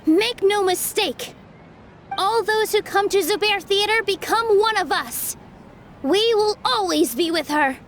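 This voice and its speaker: An actress playing a gentle, loyal young dancer in a game dub, soft and sincere as she protects a friend. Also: very high voice, a little slow, slight background noise. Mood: firm, proud. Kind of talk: dramatic game dialogue.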